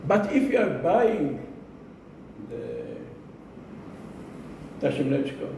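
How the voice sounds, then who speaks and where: Slow-speaking man in a very echoey room. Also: mid-range voice, wildly swooping pitch, creaky, a little mellow. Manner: slow, deliberate, repeats phrases for emphasis. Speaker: club speaker giving a speech. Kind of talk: prepared club speech to a live audience. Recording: echoey room, distant mic, noisy.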